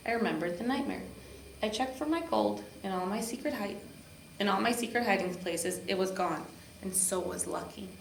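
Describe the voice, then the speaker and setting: A woman reading a children's storybook aloud with expressive narration. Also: mid-range voice. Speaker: park district program supervisor reading to children. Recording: echoey room with background noise.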